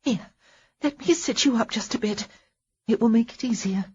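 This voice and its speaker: A woman reading a storybook, voicing a tender, flustered young woman with a breathy voice who fusses nervously with a slight tremble. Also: fairly high pitch, normal speed, mellow, lo-fi sound, voice pushed up. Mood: coaxing, fussing. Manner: fussing, nervous chatter with a tremble. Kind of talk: audiobook character voice.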